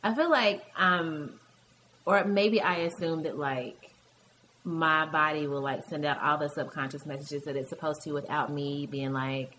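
A woman's voice: sits low, fairly even intonation, measured pace, fairly bright tone, a little hiss or hum, rough recording. A woman with an American accent, Southern with Midwestern touches, chatting casually in a wry, self-deprecating way. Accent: American, Southern with Midwestern traits. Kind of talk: casual chat.

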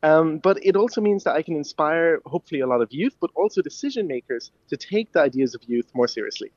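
An eager young man from Ireland, a touch higher-voiced than most, chats easily in a radio interview. His voice comes through a dull, muffled line. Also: animated, fast talker, a little mellow, no room sound. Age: young adult. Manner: eager, friendly, laughs easily. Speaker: guest inventor.

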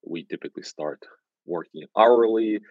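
Deep-voiced Ukrainian-accented man. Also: normal speed, no echo. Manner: frequent restarts and repeated words. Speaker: guest, agency founder. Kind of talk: casual podcast interview. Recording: dull, dry sound.

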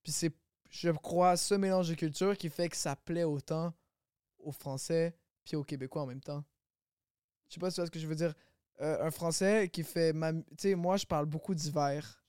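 A man chatting casually as a rapper guest on a podcast, relaxed and laughing often. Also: fairly high pitch, normal speed, clear, resonant tone, dry recording, studio quality.

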